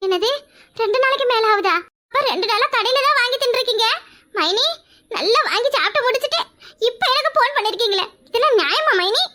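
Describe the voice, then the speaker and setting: A woman's voice: very high-pitched, expressive, a little fast, bright, forceful tone, pitched above the performer's own voice, exaggerated voice. A woman voicing a cheeky, freeloading young sister-in-law who teases and giggles in a family comedy skit. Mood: teasing. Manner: teasing, giggly, sing-song.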